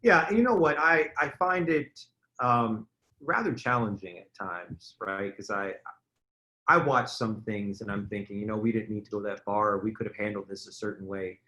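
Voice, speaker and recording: A man moderating a panel discussion in a conversational way, with earnest, deliberate phrasing and small rhetorical check-ins. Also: lowish voice, sharp tone, poor audio. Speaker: forum moderator.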